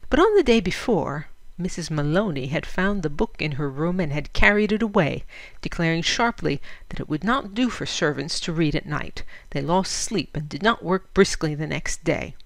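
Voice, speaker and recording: A woman narrating a literary story in a measured, expressive storytelling manner. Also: sits low, no echo. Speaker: audiobook reader.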